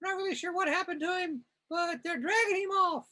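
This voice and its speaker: A woman's voice: very high-pitched, fairly quick, sharp tone. A woman casually narrating comic panels in a wry, amused, improvised way. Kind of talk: improvised, casual scene description.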